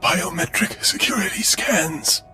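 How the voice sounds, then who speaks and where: An actor playing a smug, menacing anarchist leader in a video game, with a grandiose, sardonic delivery. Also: male voice, a little high, big pitch swings, a little slow, sharp tone, soft background music, full character voice. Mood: smug menace. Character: theatrical, disappointed male anarchist cell leader, smug and menacing.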